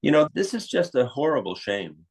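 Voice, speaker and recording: A man's voice: fairly high pitch, big pitch swings, a little fast, breathy edge, poor audio. An American man talking conversationally as an interview guest, explaining things in an opinionated way. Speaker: interview guest, psychiatrist and author.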